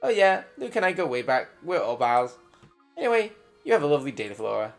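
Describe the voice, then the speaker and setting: A man's voice: a little high, very animated, a little fast, soft background music. A man voicing a cheerful, overly friendly young man in a bouncy, breezy way. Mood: chummy.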